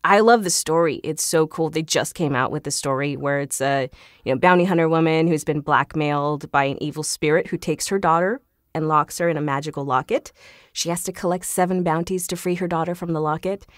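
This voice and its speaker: A woman's voice: deep voice, brisk, no echo, studio quality. A woman voice actor speaking casually as a podcast guest, in an enthusiastic, effusive, giggly way. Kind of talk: casual interview chat.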